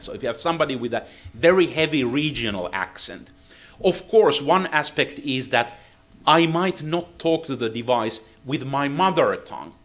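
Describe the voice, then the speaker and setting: A man from Finland, a native Finnish speaker with a Finnish accent, giving a technical seminar lecture in English with frequent "uh, uh" pauses. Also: medium pitch, fairly bright tone. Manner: halting, many "uh, uh" fillers.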